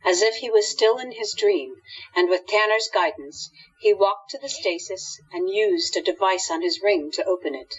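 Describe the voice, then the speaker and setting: A woman's voice: medium pitch, moderate pace, slightly rough, soft background music. A woman narrating a scripted radio drama as storyteller, on dull lo-fi audio.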